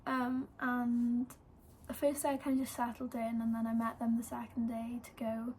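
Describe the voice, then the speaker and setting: Chatty young Welsh woman, high voice. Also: completely flat delivery, normal speed, darkish tone, a little hiss or hum. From Wales. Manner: chatty, lots of "like" and "um". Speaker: vlogger. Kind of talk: casual storytelling to camera.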